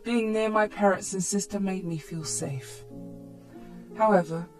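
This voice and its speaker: A woman's voice: mid-range voice, unhurried, a little mellow, faint music behind. A woman reading a scripted first-person story as narrator.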